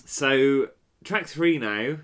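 A man with a mid-pitched voice, co-hosting a relaxed music podcast. He chats easily, drops dry jokes, and fills in chart and release details. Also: extremely slow. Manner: chatty, dry humour, gives background facts. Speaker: podcast co-host. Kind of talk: casual chat reviewing an album.

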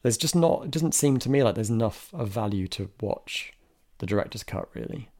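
Casual podcast co-host, male, low voice, chatty with lots of hedging. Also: expressive, moderate pace, dark tone. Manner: chatty, many restarts and hedges, bursts of laughter. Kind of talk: casual film discussion.

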